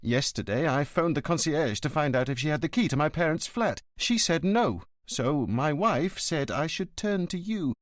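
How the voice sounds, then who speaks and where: A man's voice: fairly high pitch, expressive, moderate pace, few pauses, dry recording, studio quality, voice pushed up, close to an everyday voice. A man voicing an anxious, worried grown son whose voice cracks as he pleads. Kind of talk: audiobook character voice.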